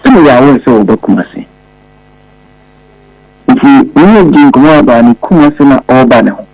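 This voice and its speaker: A Ghanaian man gives an account in an interview. His voice is mid-pitched with heavy creak, recorded dry with no room sound. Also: sing-song, moderate pace, a little mellow, no room sound, rough recording. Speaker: interviewee, party organizer.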